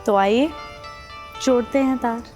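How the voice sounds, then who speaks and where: Casting: actress as coy, unflustered seductress, high voice, web drama, echoey room with music behind. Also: female voice, a little slow, close to an everyday voice. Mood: flirtatious. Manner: teasing, coy, self-assured. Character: playful, flirtatious, unflustered woman telling her own scandalous story. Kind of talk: Hindi web series acting.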